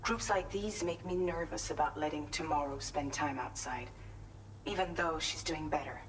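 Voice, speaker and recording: Woman voicing a concerned ally lady in a game, low measured voice, slightly distant sound. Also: a little hiss or hum, close to an everyday voice. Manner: measured, advising. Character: concerned female ally, serious and caring.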